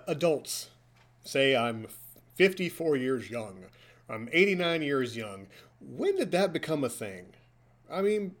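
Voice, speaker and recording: A man in his forties hosting a podcast from a hotel room, chatty and joking with his co-host. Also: a little high. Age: 40s. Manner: joking, chatty, playful ribbing. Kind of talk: casual podcast chat.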